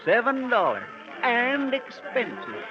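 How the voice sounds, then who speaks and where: An actor playing an earnest, folksy country sheriff with a halting delivery in an old-time radio drama. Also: male voice, extremely high pitch, wildly swooping pitch, a loud crowd, exaggerated voice. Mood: emphatic. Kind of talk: 1940s-50s radio acting. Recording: noisy, muffled old radio broadcast.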